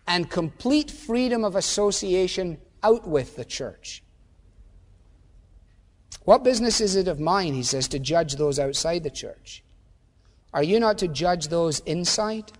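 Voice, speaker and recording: A man's voice: sits high, animated, slow pace, pauses often, long reverb tail. A man preaching a sermon as pastor, emphatic and full of rhetorical questions.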